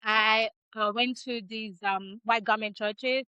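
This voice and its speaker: A woman in her thirties from Nigeria, a podcast guest talking in a measured, reflective way with frequent ums, over a video call. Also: a little high, steady tone, bright, forceful tone, smooth, dry recording, highs cut off. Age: 30s. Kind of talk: casual interview chat.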